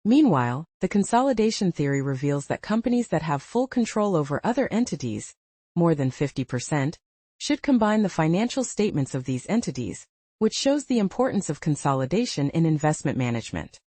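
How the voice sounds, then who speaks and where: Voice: mid-range voice, big pitch swings, a little fast, mellow, no room sound. A presenter reading scripted educational narration in a formal, read-aloud manner.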